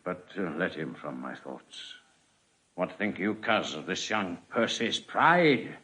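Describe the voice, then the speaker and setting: An actor playing a weary, grave king in classical Shakespearean audio drama, declaiming in a measured, solemn way. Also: low voice, very animated, pushed and bright, exaggerated voice. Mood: wistful, regretful. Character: weary, careworn English king, grave and regal.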